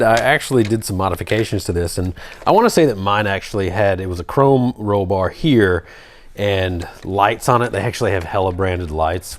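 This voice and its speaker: A low-pitched man talks casually through a nostalgic hobby show-and-tell. He rambles and thinks aloud as he goes. Faint noise and clicks sit behind his voice. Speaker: RC hobby channel presenter. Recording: clear background noise with clicks.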